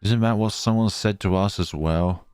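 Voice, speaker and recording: A man's voice: fairly low pitch, moderate pace, darkish tone, smooth, dry recording, studio quality, faint music behind. A man hosting a let's play, commenting on a visual novel and reading its text aloud. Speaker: gaming video host.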